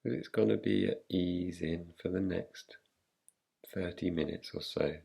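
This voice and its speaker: Deep voiced guy doing a guided meditation, really slow n calm, bit husky. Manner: calm, unhurried, soothing delivery with long pauses. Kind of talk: guided relaxation, yoga nidra meditation.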